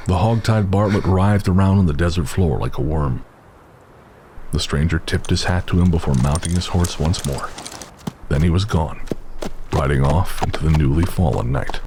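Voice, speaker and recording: A man's voice: deep voice, normal speed, noisy background. A man narrating a western horror story in a dramatic, scripted audio drama style.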